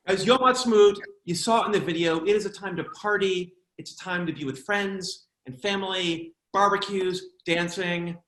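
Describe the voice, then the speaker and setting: A man's voice: high voice, measured pace, a bit bright, roomy sound, rough recording. An American man with a jokey, chatty manner, hosting an online celebration as emcee and comedian. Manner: jokey, chatty, playful asides. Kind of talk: comic hosting of an online event.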